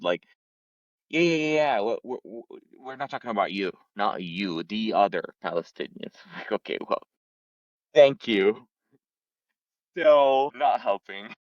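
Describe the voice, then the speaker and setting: A young man from Lebanon talking in a relaxed, reflective way about his travels, with many casual fillers. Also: fairly low pitch, normal speed, no room sound, highs cut off. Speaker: podcast guest sharing travel stories. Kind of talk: casual conversation.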